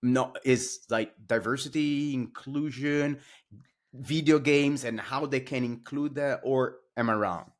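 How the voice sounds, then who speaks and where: A man's voice: mid-range voice, steady tone, slow. A man hosting an interview, talking hesitantly with many fillers and restarts. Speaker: podcast host. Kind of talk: casual interview.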